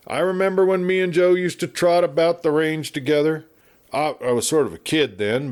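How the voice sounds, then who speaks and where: A man's voice: mid-range voice, darkish tone, close to an everyday voice. A man voicing a garrulous, good-natured but irascible frontier marshal in a storybook, with an American Western drawl and folksy, blustery chatter. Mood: jovial, chatty. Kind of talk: storybook character voice.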